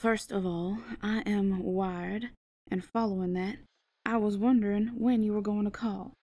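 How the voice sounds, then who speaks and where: A woman's voice: mid-range voice, fairly even intonation, measured pace, dark tone, a little hiss or hum, natural voice. A woman playing a sardonic, exasperated small-town mother in an audio drama, blunt and sarcastic.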